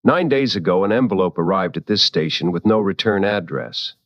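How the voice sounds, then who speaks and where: A veteran host of a late-night paranormal show in a radio drama. His voice is fairly low and sits dry and close. He talks deliberately, firm and wry, protective of his listeners. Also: animated, no room sound, highs cut off. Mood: grave, deliberate.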